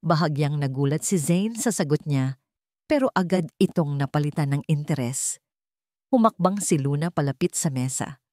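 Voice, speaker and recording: Dramatic female storyteller, slightly low voice. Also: animated, moderate pace, darkish tone, no echo, clean studio sound. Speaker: story narrator. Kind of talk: dramatic scripted narration.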